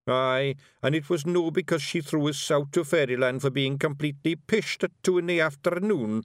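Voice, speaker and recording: A man's voice: a little high, normal speed, no echo, studio quality, pitched above the performer's own voice, lightly acted. A man doing a rowdy Scottish fairy. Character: scruffy tiny male fairy, rowdy.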